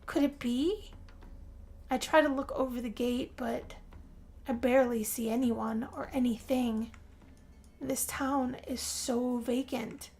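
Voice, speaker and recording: A woman telling a first-person role-play story as game narration, theatrical, with drawn-out phrases and pauses. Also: high voice, fairly even intonation, a little mellow. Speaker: gameplay narrator.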